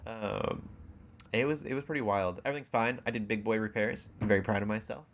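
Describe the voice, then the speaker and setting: A man's voice: on the low side, a little fast, fairly bright tone. A man hosting a podcast in a casual, jokey, self-deprecating chat style. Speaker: podcast host. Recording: clear background noise.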